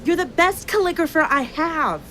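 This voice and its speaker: A woman's voice: very high voice, animated, moderate pace, bright, forceful tone, a little hiss or hum, exaggerated voice. A woman acting in an audio drama as an anxious, apologetic royal who gives orders in a hesitant, earnest way. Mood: flustered.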